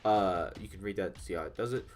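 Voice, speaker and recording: A man's voice: sits low, quick pace. A congested young man with a nasal voice, casually rambling and swearing as he reads game patch notes aloud. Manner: rambling, profane, frequent fillers and restarts. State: congested, under the weather. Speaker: gaming YouTuber. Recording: faint background music.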